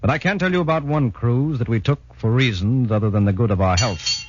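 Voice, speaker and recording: A man's voice: medium pitch, brisk, noisy background, natural voice. An actor playing a commando soldier who narrates his training days in a 1940s radio drama. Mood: measured, reminiscing. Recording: muffled 1940s radio broadcast, music behind.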